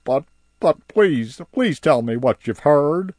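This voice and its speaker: A man voicing an eager, big-eared male animal postman who talks loudly and pleads insistently. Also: a little high, very animated, slow, no echo, voice pushed up, heavily acted. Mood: eager, pleading.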